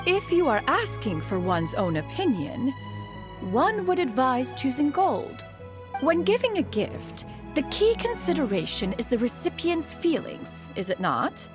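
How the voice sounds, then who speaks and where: Haughty immortal crane spirit; stately, slow delivery over game music. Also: female voice, on the high side. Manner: formal, archaic, refers to herself as "one". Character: ancient dignified female crane spirit, haughty. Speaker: mentor. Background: game soundtrack music.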